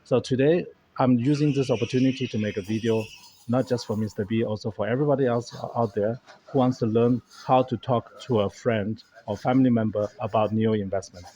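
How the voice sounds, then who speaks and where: A man's voice: medium pitch, fairly even intonation, a little fast, mellow, a little hiss or hum. A man whose first language is German, hosting and talking casually to a camera held by a friend in a café, explaining earnestly with frequent restarts. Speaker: host.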